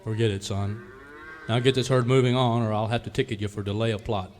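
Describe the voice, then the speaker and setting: A man's voice: lowish voice, fairly even intonation, a little fast, darkish tone, lightly acted. Man playing a folksy rural fellow, noisy background. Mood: official, easygoing. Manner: folksy, rambling. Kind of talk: parody of old-time radio serial.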